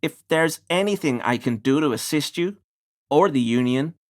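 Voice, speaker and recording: A man's voice: fairly high pitch, animated, moderate pace, close to an everyday voice. Swooping, nervy, fawning deliveryman played by a man. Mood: contrite, submissive. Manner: obsequious, stammering, evasive. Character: nervous, ingratiating male pie deliveryman with bigoted suspicions. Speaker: video game voice actor. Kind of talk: scripted game dialogue.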